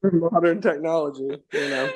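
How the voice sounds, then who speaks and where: A man's voice: fairly high pitch, moderate pace, slightly rough. A man chatting as a podcast guest, upbeat and playful, who slips into grand mock-formal wizard talk and laughs often. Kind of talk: enthusiastic casual chat.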